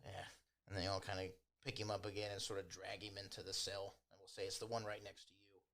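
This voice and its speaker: Guy game master narrating a fantasy game casually, lowish voice, bright and forward. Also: level tone, quick pace. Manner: informal, chuckles while narrating.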